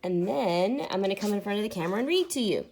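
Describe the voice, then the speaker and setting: A woman reading a children's book aloud like a teacher, deliberate and pausing so listeners can join in. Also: medium pitch, expressive, a little mellow, a little hiss or hum. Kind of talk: interactive children's read-aloud.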